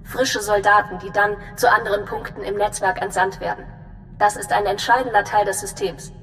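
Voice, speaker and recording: Woman acting businesslike spy agency officer, measured and precise, game cutscene, reverby, slight noise. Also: fairly even intonation, a bit bright, lightly acted. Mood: composed, businesslike. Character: composed, businesslike female intelligence briefing officer. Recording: long reverb, faint rumbling noise.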